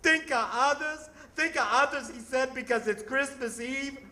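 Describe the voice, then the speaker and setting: An actor playing a distraught, bitter and weary ambulance driver in old-time radio theatre, with an emotional, halting, stammering delivery. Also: male voice, very high-pitched, bright, forceful tone. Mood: anguished, accusing.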